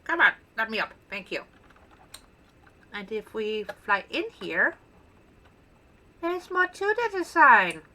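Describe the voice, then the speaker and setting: A woman's voice: on the high side, sing-song, takes it slowly, pauses often, pushed and bright, a little hiss or hum. A woman with a Danish accent, a native Danish speaker, chatting casually and playfully as she shows off her build in gameplay commentary. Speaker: gaming channel host.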